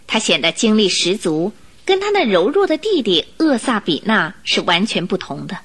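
A woman reading scripted story narration for a radio drama in an expressive way. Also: mid-range voice, moderate pace, breathy edge.

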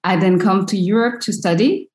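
A woman's voice: mid-range voice, moderate pace, a little mellow. A woman in her thirties from Côte d'Ivoire, speaking as an interview guest with a thoughtful manner and many restarts. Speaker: guest, shea butter entrepreneur. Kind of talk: casual interview conversation. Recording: roomy echo.

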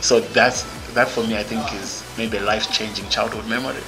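A man with a Zimbabwean English accent and a fairly low voice talks as a podcast guest. He is outspoken and blunt, and he restarts his sentences often as he thinks aloud. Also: steady tone, a little fast, music in the background, rough recording. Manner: many fillers and restarts. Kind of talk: candid interview conversation.

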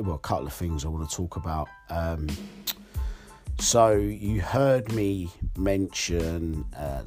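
A hoarse young man hosting his podcast alone, talking candidly and rambling with fillers. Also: sits low, darkish tone. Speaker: podcast host. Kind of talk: solo podcast monologue.

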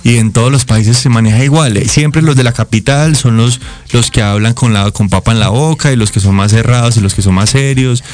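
A man's voice: mid-range voice, fairly quick, hardly any pauses, a little mellow. A man from Colombia with a Colombian accent, a guest in a casual interview who explains things at length, listing examples.

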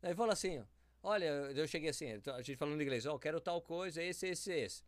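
A man's voice: fairly high pitch, fast talker, no room sound, clean studio sound. A man with a Brazilian accent chatting casually as a podcast co-host, telling stories and reading listener messages aloud. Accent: Brazilian Portuguese. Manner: animated storyteller, reads listener messages aloud. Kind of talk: casual chat among running friends.

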